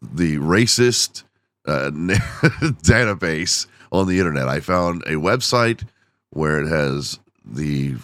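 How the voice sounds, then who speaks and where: Very deep-voiced man, losing his voice. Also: expressive, takes it slowly, a bit bright, dry recording. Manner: rambling, self-deprecating, laughs often. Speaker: podcast host. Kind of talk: casual solo podcast chat.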